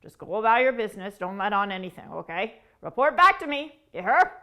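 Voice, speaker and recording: A woman's voice: mid-range voice, big pitch swings, fairly quick, a bit bright, pitched below the performer's own voice. A woman voicing a suspicious, controlling crow leader who gives orders in a scheming tone. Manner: scheming, commanding, conspiratorial.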